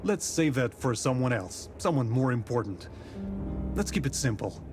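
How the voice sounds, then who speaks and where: Measured, courteous period detective played by an actor. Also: male voice, mid-range voice, animated, over music, close to an everyday voice. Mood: polite, relaxed. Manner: measured, polite, formal. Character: composed, courteous, authoritative 19th-century male detective from the capital. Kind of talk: scripted visual novel voice acting.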